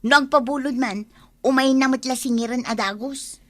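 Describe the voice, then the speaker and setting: Radio drama role: struggling young wife and mother, Ilocano accent, high pitch, defensive, close dry sound , played by a woman. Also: steady tone, normal speed, fairly bright tone, dry recording. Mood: nagging, worried.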